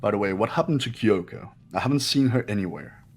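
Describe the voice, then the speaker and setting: A man playing a haughty, cold and suspicious young man in a fan game dub, speaking in a measured, condescending way. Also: on the low side, animated, faint music behind. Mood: curt. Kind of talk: amateur game voice acting.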